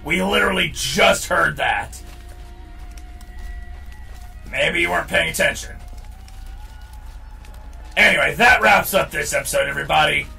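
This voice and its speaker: Creaky, bright-voiced male gamer. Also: on the high side, normal speed, pauses often. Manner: opinionated, sweary, emphatic. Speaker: gaming show host. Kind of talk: casual gameplay commentary. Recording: distant mic, game music underneath.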